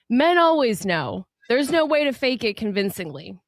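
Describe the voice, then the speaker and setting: A woman's voice: a little high, very animated, a little slow, no room sound, studio quality. Cocky guy who thinks he knows it all, a woman reading him, bouncy emphatic delivery. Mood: smug. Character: a smug man sure he knows women. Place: podcast studio.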